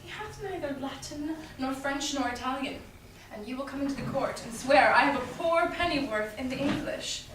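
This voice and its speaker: A witty young heiress of Shakespearean drama played by a woman, world-weary and high in pitch. She is heard from a distance in a very echoey room, in a staged reading with faint noise. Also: animated, moderate pace, fairly bright tone. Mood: mocking, amused. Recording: roomy echo, distant mic, faint noise.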